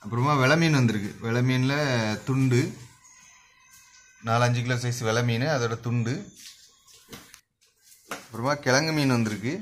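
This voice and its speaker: A man with a Kanyakumari Tamil accent, a fish shop owner running through the day's catch in a brisk, repetitive vendor's listing, filmed on a handheld phone at an indoor market stall. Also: mid-range voice, a little hiss or hum. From Kanyakumari district, South India. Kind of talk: spoken product rundown for a shop channel.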